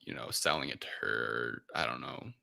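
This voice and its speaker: A man's voice: rumbling low, moderate pace, gravelly, sharp tone. A man co-hosting a casual film discussion, recapping in a rambling, offhand and irreverent way. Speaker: podcast co-host recapping a film.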